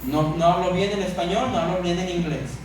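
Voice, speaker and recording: High-voiced Mexican preacher man in echoey hall. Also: steady tone. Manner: emphatic, repeats phrases, pauses for effect. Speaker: pastor preaching to a congregation. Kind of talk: sermon. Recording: echoey church hall, distant mic, noisy.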